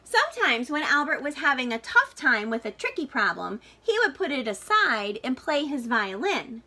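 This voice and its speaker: A woman's voice: high voice, sing-song, moderate pace, sharp tone. A woman reading a picture book aloud to children like a teacher, expressively, drawing out key words for emphasis. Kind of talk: read-aloud storytelling for children.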